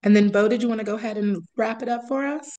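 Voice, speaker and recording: A woman's voice: a little high, quick pace, dark tone. Woman hosting a video call meeting. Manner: friendly, moves things along. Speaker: meeting host.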